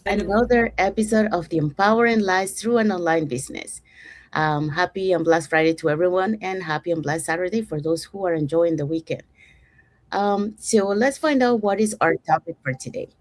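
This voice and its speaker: A woman's voice: fairly low pitch, normal speed. A woman from Panama hosting an online business talk show, leading the segments and introducing the speakers. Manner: upbeat, guiding the segments. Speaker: host.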